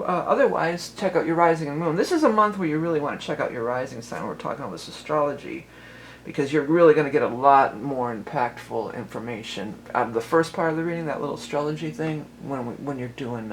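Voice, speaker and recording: Rambling, chatty woman tarot reader. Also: slight background noise. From Cleveland, Ohio. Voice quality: lower voice than she once had. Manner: rambling, chatty, many fillers and restarts. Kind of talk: casual tarot reading vlog.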